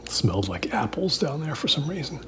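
A man performing a first-person horror story like a spoken diary, in a halting, uneasy, confiding way. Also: a little high, very animated, a bit bright. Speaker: narrator of a horror audio drama. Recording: clear background noise.

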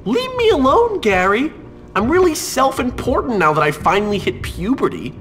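A man's voice: very high-pitched, big pitch swings, normal speed, fairly bright tone, soft background music, heavily acted. An actor playing a manipulative, mocking teenage boy in a video game, with sarcastic, theatrical, mock-friendly delivery. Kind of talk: video game cutscene acting.

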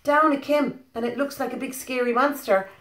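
A woman's voice: high-pitched, normal speed, fairly bright tone. An Irish woman in her thirties reading a children's story expressively, with simple questioning asides to young listeners. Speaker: preschool storyteller. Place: living room, indoors. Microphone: phone or webcam at about arm's length. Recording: echoey living room.

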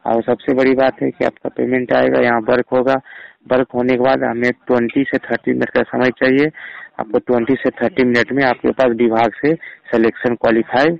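A man's voice: medium pitch, little pitch movement, a little fast, vocal fry, no room sound. A man on a recorded phone call, insistently persuading like an official and mixing in English words. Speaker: phone caller posing as an official. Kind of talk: persuasive sales-like phone pitch.

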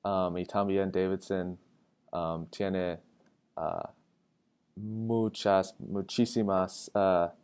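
Very slow, hesitant young American man. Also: deep voice, darkish tone, smooth. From Atlanta, United States. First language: English. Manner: hesitant, searching for words. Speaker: college student. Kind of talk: halting self-introduction in learner's Spanish. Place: indoor room with tall windows. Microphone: webcam framing with wired earphones.

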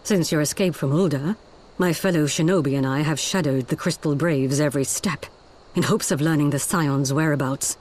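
A woman's voice: deep voice, normal speed, darkish tone, poor audio. A woman playing a composed, dutiful ninja leader in a video game, formal and deferential. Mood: respectful. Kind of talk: dramatic video game acting.

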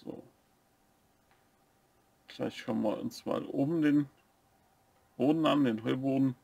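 A man co-hosting a building-set review, explaining the features step by step in a relaxed casual way. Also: mid-range voice, slow, gravelly, slightly rough, dry recording. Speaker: co-host of a brick-building review channel.

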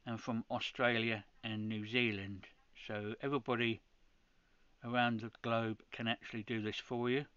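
A man with a bright, crisp voice walks viewers through a technical setup one step at a time. He talks in a practical, matter-of-fact way and often restarts his sentences. The recording is dry and a little muffled. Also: medium pitch, no room sound. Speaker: ham radio channel host. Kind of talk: screen-recorded tutorial.

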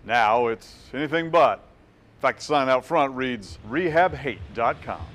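A man's voice: mid-range voice, animated, unhurried, a bit bright. A man reading scripted feature narration as a television reporter, in a folksy, dramatic storytelling manner. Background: music bed.

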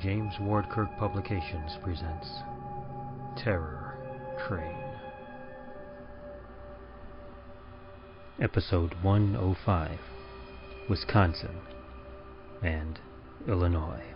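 Give me a man as a deep, ominous train conductor. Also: fairly even intonation. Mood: solemn announcing. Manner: solemn, drawn-out, rhymed incantation. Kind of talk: old-time radio horror announcing. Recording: dull audio with music behind.

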